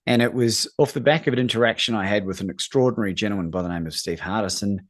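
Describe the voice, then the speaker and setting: A man with a New Zealand accent and a fairly low voice speaks as a coach being interviewed. His delivery is earnest and emphatic, in the style of motivational talk. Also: fast. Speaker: guest, courage coach.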